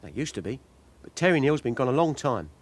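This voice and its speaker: An actor playing a guarded small-time police informant who haggles for money in a British radio crime drama. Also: male voice, medium pitch, big pitch swings, brisk. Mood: confiding. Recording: clear background ambience noise.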